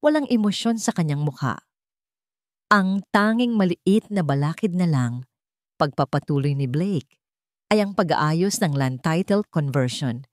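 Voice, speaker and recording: Female narrator for a dramatic story: low-set voice, very lively intonation. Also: measured pace, no echo, clean studio sound.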